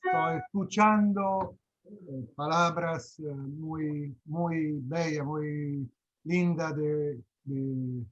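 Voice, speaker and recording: Slow Italian man, halting Spanish, muffled call. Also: a little high, steady tone. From Italy. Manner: halting, searching for words. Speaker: club president. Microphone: video call.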